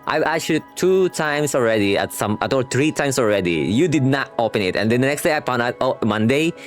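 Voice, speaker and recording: A man's voice: medium pitch, lively intonation, a little fast. A man doing casual, slangy game reaction commentary, rambling along and reading dialogue aloud. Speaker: game streamer reacting to a story quest. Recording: game music playing behind. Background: video game music and dialogue.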